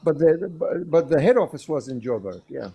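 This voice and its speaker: A man with a fairly high, very soft and mellow voice, talking casually on a live call. He explains at length in a rambling, repetitive way. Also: big pitch swings, normal speed, gravelly, slightly rough. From Australia (resident). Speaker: livestream discussion participant. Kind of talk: casual chat. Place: livestream call.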